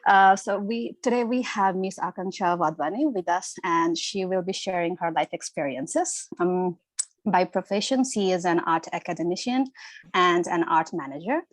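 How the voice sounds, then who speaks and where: A woman's voice: fairly low pitch, moderate pace, dry recording. A young woman from Nepal moderating an online youth talk show, polite and a little hesitant with fillers. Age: young adult. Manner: polite, uses fillers like "you know" and "like". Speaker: moderator and host. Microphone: video call.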